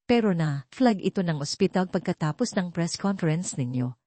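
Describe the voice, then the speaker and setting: A woman's voice: lowish voice, animated, moderate pace, warm tone, dry recording. A woman voicing a polite, probing female police detective in an audio drama, formal and matter-of-fact. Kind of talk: audio drama storybook reading.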